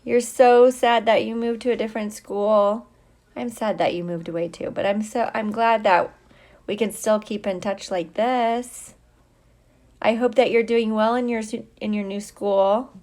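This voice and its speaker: A woman's voice: medium pitch, steady tone, a little slow, darkish tone. A middle-aged woman, a teacher, chatting casually and playfully with young viewers on a livestream, drawing out her greetings. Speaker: teacher hosting a livestream for students.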